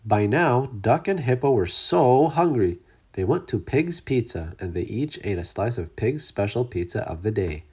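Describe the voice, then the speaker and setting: This is a storyteller reading a picture book to children. The delivery swings widely in pitch and leans into dramatic emphasis. The voice has a husky edge. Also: animated, normal speed, warm tone. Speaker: storybook reader. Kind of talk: read-aloud children's story narration.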